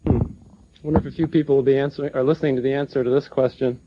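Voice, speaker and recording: Earnest, measured man on a muffled recording. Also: mid-range voice, fairly even intonation, background noise. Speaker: former federal agent speaking at a seminar. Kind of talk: seminar question-and-answer.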